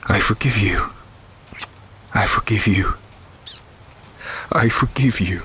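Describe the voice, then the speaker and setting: Stern, low-voiced warrior king. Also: takes it slowly, sharp tone, breathy edge, exaggerated voice. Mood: grave, hushed. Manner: commanding, formal, archaic phrasing. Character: stern, wrathful warrior king granting cold mercy. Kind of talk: fantasy audio drama acting.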